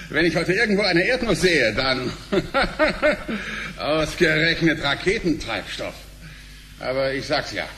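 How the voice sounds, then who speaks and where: An actor playing a brash, crude and jovial company director in a radio play, blustering in blunt, slangy exclamations with an excitable delivery. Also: male voice, on the high side, slow, pauses often, slight background noise, exaggerated voice. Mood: boastful, amused. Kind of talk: German radio play acting.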